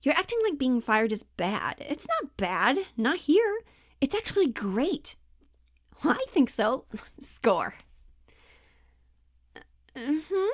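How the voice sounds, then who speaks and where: A woman's voice: high voice, big pitch swings, slow, long pauses, no room sound, clean studio sound, pitched above the performer's own voice, exaggerated voice. A woman playing a pushy, falsely upbeat Hollywood talent agent in a comedic monologue, coaxing, flippant and over-reassuring. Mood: breezy reassurance. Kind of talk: dramatic audition monologue, comedic.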